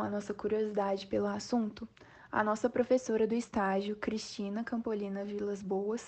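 Young Brazilian woman, 20, student host; warm, mellow delivery, earnest and welcoming. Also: mid-range voice. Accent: Brazilian Portuguese. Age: 20s. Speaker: psychology student, podcast host. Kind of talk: podcast introduction and discussion.

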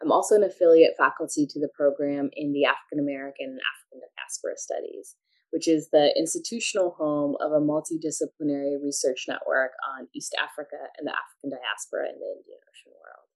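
A woman with a notably low voice, born in Pakistan, speaking as a guest professor. She answers in a measured, thoughtful academic manner. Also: steady tone, low-quality recording. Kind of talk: academic interview.

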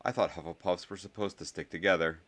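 A man's voice: deep voice, steady tone, quick pace, fairly bright tone. A haughty rich schoolboy from a storybook, put on by a man. He speaks with scorn and a drawl, sounding sure of his rank. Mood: sneering.

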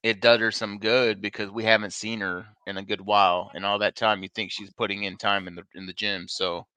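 Bright, clear-voiced man hosting a podcast. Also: lowish voice, little pitch movement, fairly quick, no room sound. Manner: upbeat, plugging social media, teasing. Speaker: podcast co-host. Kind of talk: casual live podcast chat. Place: bar and grill, live show.

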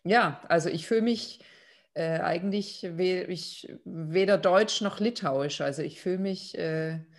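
Lower-voiced woman, casual and attentive. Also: a little slow. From Germany. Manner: attentive listener, frequent murmured agreement. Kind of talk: casual conversation.

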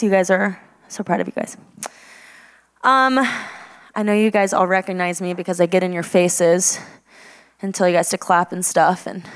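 A woman's voice: fairly low pitch, clear, resonant tone. A young woman from Texas, a youth ministry leader, giving a chatty, self-deprecating personal testimony to students with many fillers.

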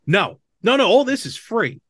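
A man's voice: high-pitched, sing-song, moderate pace, a bit bright, slightly rough, no echo, clean studio sound. A man hosting a podcast, chatting casually and enthusiastically about a hobby. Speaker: podcast host. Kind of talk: casual podcast chat about retro games.

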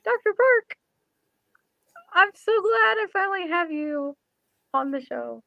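A woman with a high voice hosts an informal chat. She talks in a chatty, loose way and lets thoughts trail off. The sound is close and dry, though a little dull. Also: lively intonation, takes it slowly, creaky, no room sound. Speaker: show host; ordained minister, former pastor. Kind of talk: casual interview chat.

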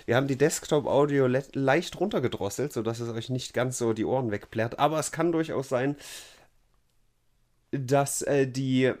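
A man's voice: medium pitch, moderate pace, darkish tone. Joking German man co-hosting a podcast. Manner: jokey, switches into put-on dialect voices and laughs often. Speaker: podcast co-host. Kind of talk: casual live podcast banter.

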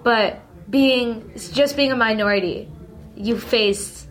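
A talkative teenage girl answers interview questions in a relaxed, rambling way, with plenty of "like" and "you know" in her sentences. Her voice sits a little high for a woman. Faint music plays softly underneath. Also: takes it slowly. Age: late teens. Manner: chatty, lots of "like" fillers, upbeat. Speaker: interview guest, social media creator. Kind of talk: casual interview chat.

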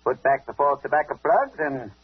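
An actor playing a tough, sly older police inspector in a 1940s radio detective drama, taunting and commanding in clipped speech. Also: male voice, a little high, expressive, normal speed, breathy edge. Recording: dull old radio broadcast recording.

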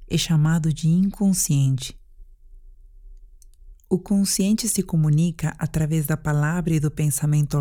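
A Brazilian woman narrating a guided sleep meditation in a calm, gentle, deliberate manner. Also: sits low.

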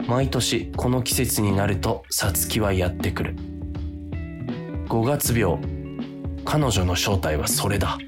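An actor playing a weary, easily tempted office worker who narrates a comic radio drama with grumbling, self-deprecating drama. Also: male voice, fairly low pitch, lively intonation, slow, pauses often, music in the background. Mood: wry narration.

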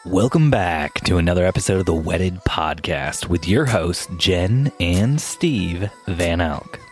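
A man co-hosting a casual wedding podcast with his wife, wisecracking and teasing, with a gravelly voice. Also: low-pitched, expressive, a little slow, soft background music. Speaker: podcast co-host.

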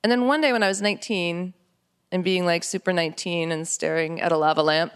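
A woman with an American accent, an author being interviewed, telling personal stories in an animated, conversational way. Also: fairly low pitch, a little fast, clean tone. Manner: animated, quotes dialogue as she goes.

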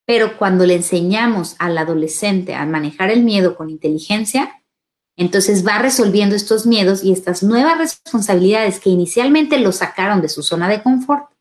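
A woman's voice: mid-range voice, moderate pace. A woman hosting a live parenting show, answering viewers' questions and speaking straight to the parents. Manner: warm advisory tone, addresses parents directly, asks "¿sale?". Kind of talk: live Q&A talk.

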